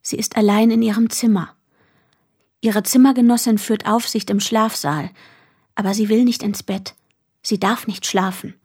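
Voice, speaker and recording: A woman performing as a detached narrator of a young woman's story in a radio drama, measured and matter-of-fact. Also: medium pitch, fairly even intonation, darkish tone, breathy edge. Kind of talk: literary radio drama narration, third person.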